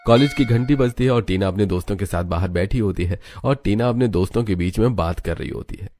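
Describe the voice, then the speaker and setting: Guy reading out a cartoon tale, relaxed dark tone, bit deep, music under it. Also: brisk. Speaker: narrator. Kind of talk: scripted cartoon story narration.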